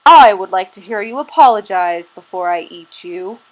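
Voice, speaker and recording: A woman's voice: medium pitch, expressive, normal speed, pitched below the performer's own voice, natural voice, rough recording. Woman doing a growling grumpy bear. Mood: gruff. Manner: growling, loud and threatening. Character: huge grumpy male bear.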